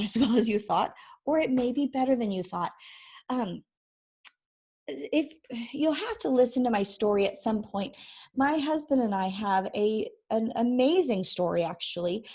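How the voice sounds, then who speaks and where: A woman in her forties with a croaky, hoarse voice, hosting a chatty faith-based parenting vlog, laughing often and drifting into tangents. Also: medium pitch, mellow. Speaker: vlog host and ministry founder. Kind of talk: casual faith-based parenting talk to camera. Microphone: microphone she sometimes bumps.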